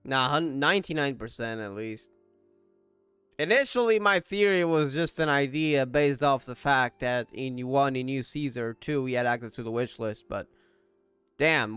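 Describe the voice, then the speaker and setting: A man comments casually on a game as he plays. His voice is clear and smooth, mid-pitched, recorded dry with faint music underneath. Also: a bit bright, no room sound. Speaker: gaming content creator.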